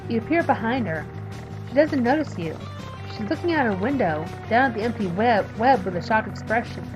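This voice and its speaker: A woman in her thirties reading a visual novel aloud and chatting about it, emphatic while reading and quiet when talking about herself. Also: fairly high pitch, animated, a little fast, music in the background, poor audio. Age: 30s. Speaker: let's play streamer reading a visual novel. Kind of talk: reading game text aloud and commenting.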